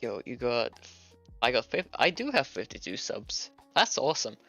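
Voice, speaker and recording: A man's voice: mid-range voice, big pitch swings, normal speed, gravelly, sharp tone, dull audio, soft background music. A man from Hungary, a native Hungarian speaker, chatting casually on a livestream while he works, thinking aloud and laughing often. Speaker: streamer and game developer.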